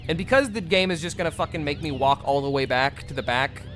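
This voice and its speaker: A man's voice: fairly high pitch, fast talker, background noise. A man streaming a game and chatting candidly with his viewers, rambling with restarts and swearing. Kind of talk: casual livestream chat with viewers.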